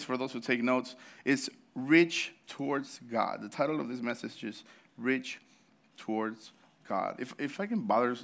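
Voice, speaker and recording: A man's voice: medium pitch, a bit bright. A man in his thirties preaching a sermon in church, telling a story with laughs and restarts, his throat slightly sore. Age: 30s. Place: church service.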